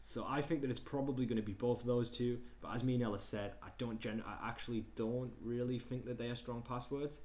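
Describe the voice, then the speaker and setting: A man's voice: mid-range voice, fairly even intonation, fairly quick, few pauses, a little mellow. A man tutoring in an informal online lesson, reading slides aloud and commenting in a hesitant, conversational way, often repeating himself. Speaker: tutor presenting a digital skills session. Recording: clear background noise.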